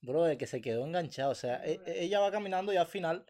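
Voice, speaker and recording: A man's voice: a little high, expressive, fast, mellow. A man with a Cuban accent hosting a podcast in an informal, slangy, chatty way. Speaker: podcast host. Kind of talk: casual chat.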